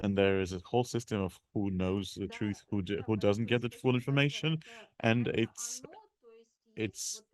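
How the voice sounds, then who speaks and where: A man with a fairly low voice works as a live interpreter at a seminar. He builds his sentences piece by piece, haltingly, often repeating a word before moving on. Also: expressive, a little mellow. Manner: halting, piecing phrases together with repeats.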